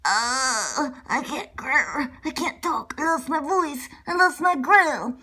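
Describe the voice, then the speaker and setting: A proud storybook grizzly bear, acted by a woman sounds helpless and plaintive. He halts and repeats himself in a high, bright voice. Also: animated, heavily acted. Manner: plaintive, halting, repetitive. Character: proud grizzly bear champion, helpless without his roar.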